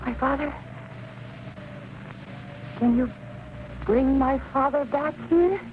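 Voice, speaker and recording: A woman's voice: high voice, takes it slowly, narrow, tinny audio. An actress in an old-time radio thriller playing an intense, insistent daughter accusing someone of her father's murder. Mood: shaken, urgent. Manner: dramatic, insistent. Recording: band-limited, noisy vintage radio recording.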